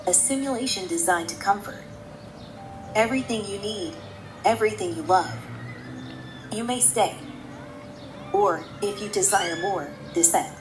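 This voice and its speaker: A woman playing the calm, ominous, enigmatic guide of a virtual simulation in a radio drama, speaking in a measured, solemn way. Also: medium pitch, music in the background, natural voice. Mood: coolly tempting.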